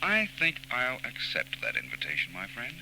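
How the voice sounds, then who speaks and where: An actor playing a suave, self-assured gentleman detective with urbane, teasing delivery, in 1940s radio style. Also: mid-range voice, wildly swooping pitch, normal speed, vocal fry, full character voice. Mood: wry, suave. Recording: noisy 1940s radio recording.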